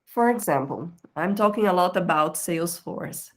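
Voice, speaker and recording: Woman coach with a slightly low voice. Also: lively intonation, moderate pace. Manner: explanatory, checks in with "okay?", non-native phrasing. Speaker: career coach. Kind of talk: screen-share tutorial on a professional profile.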